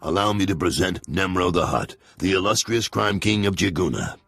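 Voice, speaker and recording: An actor playing a stern, menacing gangster guard in a video game, blunt and gravelly. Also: male voice, low voice, expressive, normal speed, a bit bright, no room sound, soft background music, full character voice. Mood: stern warning. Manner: blunt, warning.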